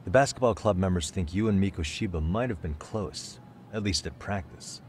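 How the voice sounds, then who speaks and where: An actor playing a calm, streetwise private detective in a video game dub, with a cool, probing, wry delivery. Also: male voice, low-pitched, normal speed, slight background noise, close to an everyday voice. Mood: gentle, questioning.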